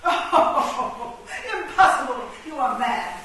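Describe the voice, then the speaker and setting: A woman's voice: sits high, animated, slow, fairly bright tone, exaggerated voice. A woman playing a haughty, tyrannical chess queen with imperious outbursts in a comic radio play. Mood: scornful, dismissive. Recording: echoey room, distant mic, faint noise.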